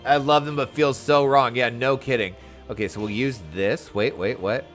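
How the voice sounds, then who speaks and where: An excitable, enthusiastic man giving casual, exclamatory live commentary as he plays an online role-playing game. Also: mid-range voice, normal speed, soft background music. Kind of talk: live gameplay commentary, casual chat to viewers.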